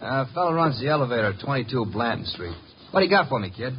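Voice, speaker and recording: A man's voice: medium pitch, lively intonation, rapid-fire, slight background noise, close to an everyday voice. An actor playing a brash, wisecracking detective's legman in a hard-boiled old radio drama, slangy and sardonic. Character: wisecracking, brash private-eye legman, male. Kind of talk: 1950s hard-boiled radio acting and narration. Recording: muffled old radio recording with music.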